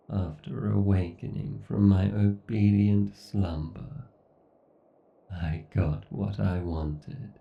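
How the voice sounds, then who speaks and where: A man playing an obsessed, sadistic AI god villain in a horror ASMR roleplay, gloating with slow, grandiose menace and an echo on the voice. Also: lowish voice, vocal fry, exaggerated voice. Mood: gloating, contemptuous. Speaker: voice actor. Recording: muffled, echoey, distant-sounding.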